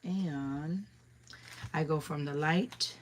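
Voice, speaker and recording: A young woman with a slightly raspy voice, chatting casually through a crafting tutorial, with many ums and asides. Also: deep voice, extremely slow, a little hiss or hum. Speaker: craft demonstrator.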